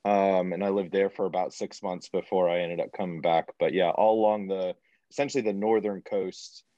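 A man co-hosting a podcast, chatting casually in a halting way with many pauses and fillers. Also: deep voice, fairly even intonation, mellow, no room sound. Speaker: podcast co-host. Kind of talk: casual interview chat. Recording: dull lo-fi audio.

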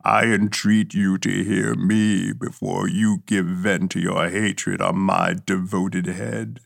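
A man voicing a slow, gravelly brooding creature. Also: level tone, no room sound, studio quality, full character voice. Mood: pleading. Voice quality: gravelly, husky. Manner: slow, low, heavy pleading. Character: anguished male creature, huge and brooding.

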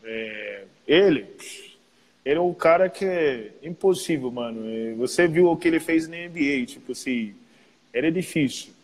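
A man's voice: on the high side, lively intonation, moderate pace. American man chatting casually, long reverb. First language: English. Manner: slangy, repeats filler tags. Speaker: podcast interviewer, basketball player. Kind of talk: casual chat. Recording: long reverb, roomy sound.

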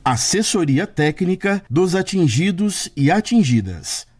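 A man's voice: high-pitched, expressive, unhurried, a little mellow. A man with a Brazilian accent presenting a radio programme in scripted narration. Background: music.

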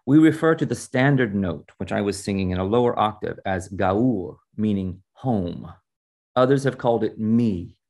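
Man reading as a measured, gravelly wise teacher. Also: lowish voice, expressive, darkish tone. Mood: solemn, explanatory. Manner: measured, formal, instructive. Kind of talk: storybook reading.

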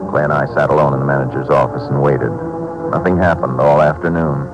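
An actor playing a steady, commanding Texas Ranger in a 1950s radio drama, terse and businesslike. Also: male voice, very deep voice, fairly quick, music in the background. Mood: matter-of-fact. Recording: muffled, noisy old radio recording.

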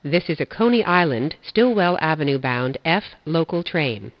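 An automated-sounding subway announcer reading stops and transfers in flat, measured phrasing. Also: mid-range voice, no echo. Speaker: train announcer. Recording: dull lo-fi audio.

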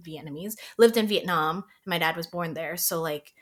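Casting: woman from Canada, low-ish pitch, relaxed guest chatter on a call, filler-heavy. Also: normal speed. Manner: chatty, many "like" fillers, laughs easily. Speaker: podcast guest. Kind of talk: casual chat. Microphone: remote call.

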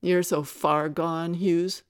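A woman's voice: sits low, steady tone, slow, no room sound. Casting: woman narrator as righteous angry male musician, emphatic and accusing, audiobook western romance, dry recording. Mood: angry, commanding. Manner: loud, emphatic, accusing declarations. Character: angry righteous cowboy musician, forceful man.

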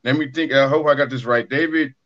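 A man's voice: medium pitch, steady tone, fast talker, a bit bright. A man hosting a casual discussion show, in slightly lo-fi audio. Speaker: podcast host. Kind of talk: casual discussion of current events.

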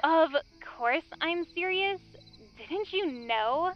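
A woman's voice: extremely high pitch, expressive, slow pace, dull audio, full character voice. A woman playing a bossy, impatient, mean popular teenage girl, blunt and dismissive, in an audio drama. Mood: bossy, breezy.

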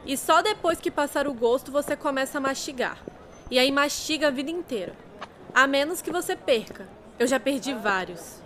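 A woman's voice: sits high, animated, normal speed, a bit bright, a little hiss or hum. A woman playing a knowing, reassuring older girl in a radio drama, matter-of-fact, with a Brazilian accent.

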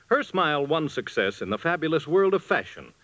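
A man's voice: on the high side, very animated, fairly quick, a bit bright, dry recording. A man narrating a 1940s radio commercial as announcer, with a polished storytelling sales delivery. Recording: dull vintage radio recording.

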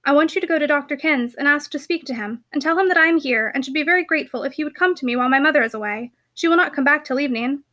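A woman voicing a polite, soft-spoken young gentlewoman in a storybook, subdued, hesitant and quietly sorrowful. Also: sits high, racing, few pauses. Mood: earnest request. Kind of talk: audiobook character voice.

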